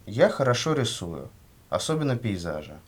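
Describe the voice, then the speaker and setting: A man reading scripted questions and answers for a language lesson in a measured way. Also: lowish voice, big pitch swings, slight background noise. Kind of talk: scripted language-lesson dialogue, read aloud.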